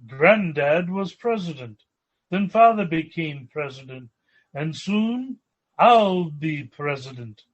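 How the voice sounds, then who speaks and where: A genteel young millionaire played by an actor in a radio sitcom, earnest and courteous. His voice is high and unhurried, on a slightly muffled recording. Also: animated. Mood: mild, puzzled. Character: polite, genteel, mild-mannered young millionaire banker. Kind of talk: old-time radio sitcom acting.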